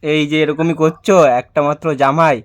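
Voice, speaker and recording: A man's voice: on the high side, level tone, normal speed, smooth. A young man making a prank call, chatting casually in a playful, persistent, pleading way. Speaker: prank caller. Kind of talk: casual teasing phone chat.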